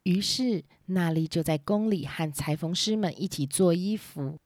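A woman tells a children's story from a script. Her voice sits a little low, and the recording is close and dry, with no room sound. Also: normal speed, a little mellow, no echo. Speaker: storyteller and host.

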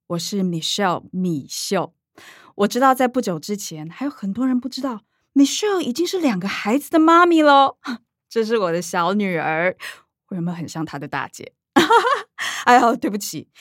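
A woman's voice: a little high, a bit bright, no room sound, clean studio sound. An actress playing a showy middle-aged Taiwanese mother who gushes in a sugary, self-dramatizing way for her livestream, in a radio drama. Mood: gushing, giggly. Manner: gushing, performs for the camera.

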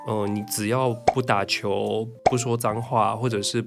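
Male guest author, casual interview talk, reflective, self-mocking humor, clear music underneath. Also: fairly low pitch, steady tone, a little slow.

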